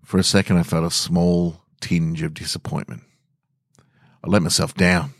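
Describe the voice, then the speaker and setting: A man's voice: low-pitched, little pitch movement, normal speed, gravelly, dry recording, clean studio sound. An Australian man hosting a podcast, reading short scripted stories and talking to listeners. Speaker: podcast host and story reader. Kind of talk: scripted narration of short stories with casual host remarks.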